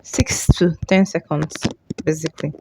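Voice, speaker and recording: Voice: mid-range voice, fairly even intonation, moderate pace, background noise. A tutorial host explaining things informally, often repeating and rephrasing along the way. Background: music.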